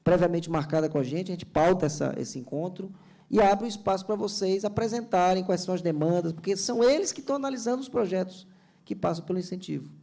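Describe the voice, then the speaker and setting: Brazilian official from Ceará, panel talk; dark, gravelly tone, earnest and halting. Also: male voice, high voice. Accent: Brazilian Portuguese, Ceará. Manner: earnest, explanatory, many restarts and fillers. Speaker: government culture official on a panel. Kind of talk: seminar panel speech.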